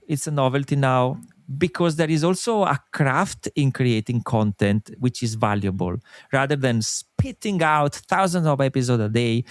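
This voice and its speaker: Animated Italian-accented man. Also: on the high side, dry recording, clean studio sound. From Italy. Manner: animated, earnest, explaining with examples. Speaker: podcast company co-founder, guest. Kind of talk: interview conversation.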